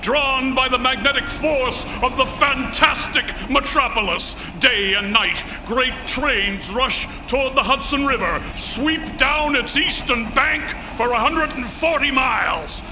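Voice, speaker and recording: Grandiose, very high-pitched male radio announcer. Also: a little slow, few pauses, over music, poor audio. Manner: grandiose, declamatory. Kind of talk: 1940s dramatic radio narration.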